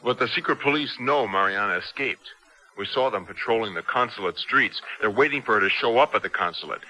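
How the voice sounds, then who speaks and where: A man's voice: lowish voice, a little fast, pushed and bright, poor audio. An actor playing a determined, impatient man in a 1970s radio drama, urgent and insistent. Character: determined, impatient man fighting to free imprisoned Ruth.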